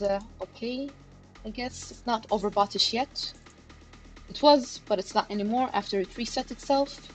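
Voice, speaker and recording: A woman hosting a live market analysis stream, talking casually to her chat with lots of fillers like "you know" and "okay". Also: mid-range voice. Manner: chatty, many fillers, addresses chat directly. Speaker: crypto chart analysis livestream host. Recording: livestream with faint background music. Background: faint background music.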